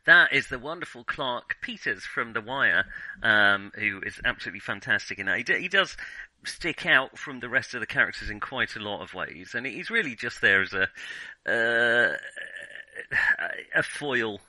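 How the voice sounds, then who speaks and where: Male film podcast host. Very forceful, bright tone, dry sound, hesitant conversational reviewing with frequent rephrasing. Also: medium pitch, animated, no echo, poor audio. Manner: hesitant, halting, self-interrupting. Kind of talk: casual film review discussion.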